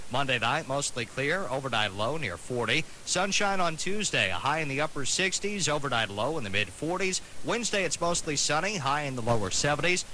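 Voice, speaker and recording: A man's voice: a little high, sharp tone, slight background noise, rough recording. A man reading a scripted weather forecast as a radio announcer.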